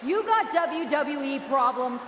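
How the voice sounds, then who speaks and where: A woman's voice: high-pitched, expressive, measured pace, a bit bright. A woman playing a bitter, jealous wrestling promoter in a video game, delivering a sarcastic, menacing speech over an arena PA. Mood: defiant, angry. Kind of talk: theatrical in-ring promo speech. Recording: echoey arena PA with crowd noise.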